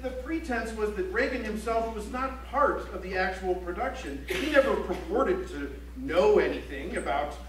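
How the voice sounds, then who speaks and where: Actor as male documentary chronicler, high voice, articulate, dry and declamatory, staged in an echoey hall. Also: animated, moderate pace, few pauses. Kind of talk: theatrical scripted documentary narration. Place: library auditorium stage, recorded from the audience. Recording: echoey auditorium, distant mic, faint hum.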